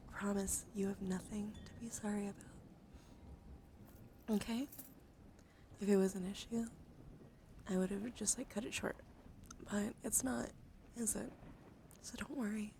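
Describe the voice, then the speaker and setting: A woman speaks softly and affectionately as an ASMR streamer, slipping often between a hushed voice and whispers. Her mid-pitched voice has plenty of fry and a slight just-woke-up strain. She sounds close to the microphone, with noticeable noise behind her. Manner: gentle, soothing, affectionate. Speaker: ASMR livestream host. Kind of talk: soft ASMR, chatting to live viewers.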